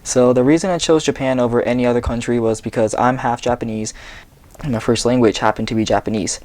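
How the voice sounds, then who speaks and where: A man's voice: medium pitch, fast. A young man from the Seattle area, reading a personal story earnestly from a script in a small bedroom. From Seattle, USA. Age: young adult. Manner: earnest, steady reading off a tablet. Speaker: vlogger.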